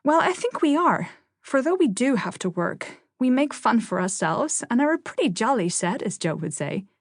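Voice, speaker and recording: A woman's voice: fairly high pitch, big pitch swings, moderate pace, no room sound, studio quality, voice pushed up. A woman voicing a proper teenage eldest sister, sweet but complaining, with a lecturing, plaintive manner in an audiobook. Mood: cheerful.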